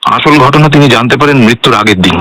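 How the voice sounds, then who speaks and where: A man's voice: mid-range voice, quick pace, bright, forceful tone, dry recording, rough recording. A man acting an earnest, forgiving bereaved son in a radio drama, explaining himself emphatically.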